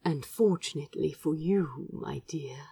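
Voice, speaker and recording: A woman voicing a tender, teasing prince in a romantic story, murmuring softly and breathily. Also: sits low, measured pace, gravelly, slightly rough, no room sound, studio quality, far from the mic, pitched below the performer's own voice, exaggerated voice. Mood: fond teasing.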